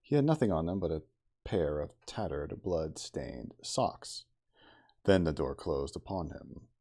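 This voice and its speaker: Low gravelly guy doing an asmr book reading, lots of rise and fall. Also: expressive, measured pace, dark tone. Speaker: audiobook-style reader. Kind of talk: ASMR reading of a classic novel.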